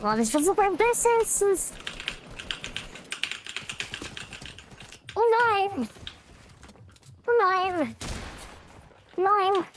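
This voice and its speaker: A man voicing a sweet, clueless young girl through a pitch-shifting voice changer, chatting casually in a gushing way. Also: sing-song, very slow, a bit bright, slight background noise, heavily acted. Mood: flustered. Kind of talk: casual game chat, live stream prank.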